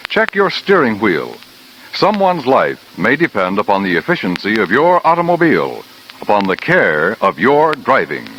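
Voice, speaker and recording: A man's voice: fairly low pitch, huge pitch swings, normal speed, a bit bright, rough recording. A man with an American accent announcing formally and emphatically in 1950s radio style. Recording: old radio recording, faint noise.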